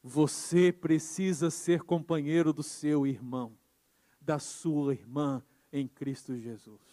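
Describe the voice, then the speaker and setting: A man pastor preaching a sermon with emphasis, moving between quiet passages and loud insistent ones. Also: a little high, fairly even intonation, slow pace. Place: church. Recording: church hall with long reverb.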